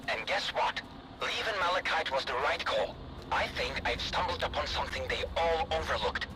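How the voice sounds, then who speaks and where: An actor playing an eager, self-assured scientist in a video game, speaking in an articulate, insistent way. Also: male voice, fairly high pitch, background noise, close to an everyday voice.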